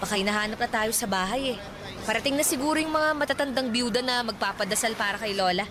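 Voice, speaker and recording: An actress playing a shy, sensible young woman in a radio drama, gently scolding and fondly exasperated. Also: fairly high pitch, fast talker, crowd noise, natural voice. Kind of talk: Tagalog radio drama acting.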